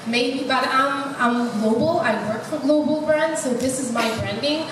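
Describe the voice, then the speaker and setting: A woman's voice: high voice, measured pace. A woman with an Israeli accent, speaking upfront and emphatically as a panelist in a panel discussion. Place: event hall. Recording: echoey hall from far off, noisy.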